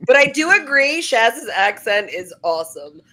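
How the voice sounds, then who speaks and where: An American woman hosting a casual group chat over a video call, telling stories in a lively, animated way with frequent laughter. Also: fairly high pitch, normal speed, low-quality recording. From United States. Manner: chatty, animated storyteller who laughs often. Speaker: host.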